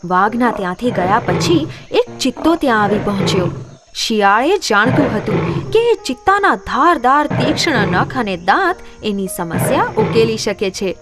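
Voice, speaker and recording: A woman's voice: on the high side, sing-song, moderate pace, over loud music. A woman narrating scripted moral stories for children.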